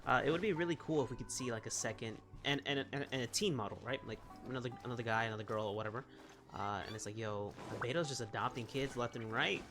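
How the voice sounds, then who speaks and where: A man giving casual let's-play commentary, rambling and reacting aloud in an excitable way. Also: mid-range voice, quick pace. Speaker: gaming video host. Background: game music.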